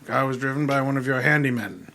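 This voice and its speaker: An actor playing a brusque, skeptical private detective who questions with authority in an old-time radio mystery. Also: male voice, medium pitch, pushed and bright. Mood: probing, skeptical. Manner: brisk, commanding, skeptical.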